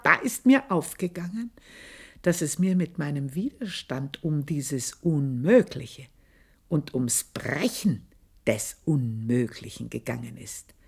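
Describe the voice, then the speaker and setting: An actress playing an older, passionate, scheming maidservant in a radio drama monologue, with an intense, deliberate, breathy delivery. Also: female voice, sits low, a little mellow, full character voice. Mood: intense, bitter.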